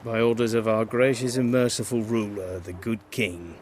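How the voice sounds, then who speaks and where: An actor playing a plain-spoken, quietly disillusioned soldier on guard in a fantasy video game, talking in blunt, clipped, colloquial phrases. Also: male voice, fairly low pitch, fairly even intonation, moderate pace, a little hiss or hum, close to an everyday voice. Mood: grim, matter-of-fact.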